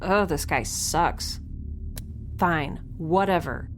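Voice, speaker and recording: A woman's voice: fairly low pitch, slow. A woman playing a wry, flustered young woman alone on a spaceship, in a casual, hesitant, sarcastic audio drama style. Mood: wry, bluffing. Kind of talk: naturalistic sci-fi audio drama acting. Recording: faint ship ambience noise.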